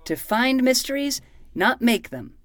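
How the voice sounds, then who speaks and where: A woman's voice: sits high, very animated, unhurried, no room sound. A woman playing an earnest, self-important twelve-year-old boy detective in a fiction podcast, speaking in a formal, theatrical way. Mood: solemn.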